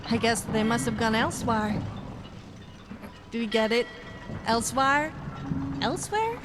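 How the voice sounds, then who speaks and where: Actress as a high, lively, sarcastic companion. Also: female voice, measured pace, background noise. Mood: teasing, punning. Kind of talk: video game companion dialogue.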